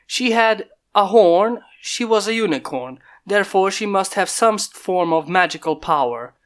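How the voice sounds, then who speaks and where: A man reading a fan story aloud in steady, plain narration. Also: sits high, moderate pace, smooth. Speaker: fanfic reader. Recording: mic pops from a failing microphone.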